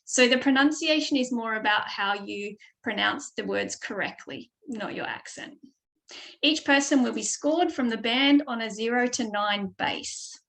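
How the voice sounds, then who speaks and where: A woman's voice: a little high, a little slow, fairly bright tone, poor audio. An Australian woman giving a webinar lesson as a speaking tutor over a video call mic, explaining steadily with frequent ums. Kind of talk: online webinar presentation.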